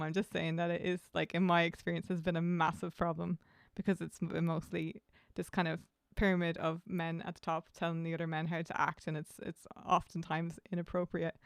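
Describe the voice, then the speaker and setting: A woman hosting a casual livestream interview, chatty and animated, with frequent laughs and fillers. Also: on the low side, clear, resonant tone, dry recording, clean studio sound.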